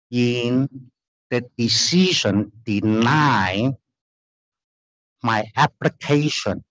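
A middle-aged man with a Chinese accent and Chinese as his first language, testifying at a formal hearing in halting, earnest, insistent English from a lectern. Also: mid-range voice, lo-fi sound. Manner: halting non-native phrasing, earnest and insistent. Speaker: applicant appealing a denial. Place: large hearing room, standing at a lectern.

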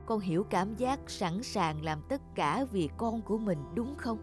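Woman doing sad gentle old mom in a cartoon, slow and solemn, music behind. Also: medium pitch, expressive, natural voice. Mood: solemn, tender. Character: sorrowful, gentle elderly mother revealing a family secret. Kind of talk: dramatic cartoon dialogue.

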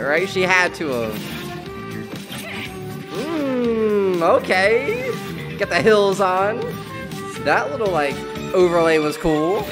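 A man in his twenties reacts to trailers in a casual, slangy way. His pitch is very lively and playful, full of hype. Music plays behind his commentary. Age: 20s. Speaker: reaction video host. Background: music and game trailer audio.

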